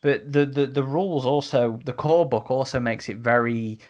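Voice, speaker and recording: A man hosting a casual podcast discussion, speaking in a halting way and rephrasing as he goes. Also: mid-range voice, darkish tone, muffled recording. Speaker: podcast host.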